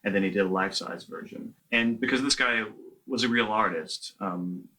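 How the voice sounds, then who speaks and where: A man, the film's director, giving measured, reflective interview answers. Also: on the low side, bright, forceful tone, slight background noise, rough recording. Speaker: film director.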